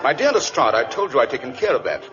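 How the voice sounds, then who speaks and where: An actor playing a confident, urbane British detective with a precise, theatrical manner in 1940s radio drama style. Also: male voice, mid-range voice, very animated, quick pace, over music, natural voice. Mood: reassuring. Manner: precise, theatrical, self-assured. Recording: dull vintage radio recording with hiss.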